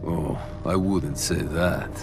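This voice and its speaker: A man's voice: very deep voice, fairly even intonation, slow pace. A man playing a bitter, defiant ex-enemy soldier in a military video game, with a sardonic manner. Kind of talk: military game cutscene acting and narration. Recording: music behind the voice.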